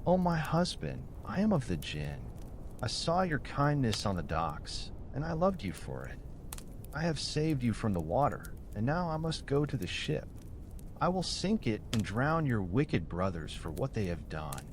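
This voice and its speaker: A passionate spirit wife from a storybook , read by a man. She declares things solemnly and formally, with lively pitch movement over a faint rumbling noise. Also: unhurried. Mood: solemn, vengeful. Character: passionate female jinn wife, solemn and powerful.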